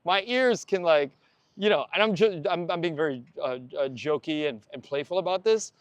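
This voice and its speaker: A man's voice: on the high side, moderate pace, smooth, dry recording, highs cut off. A man in his forties, a guest on a walking chat, talking loosely with repeated words and fillers. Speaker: podcast host, guest. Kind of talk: casual conversation while walking. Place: outdoors on a walk.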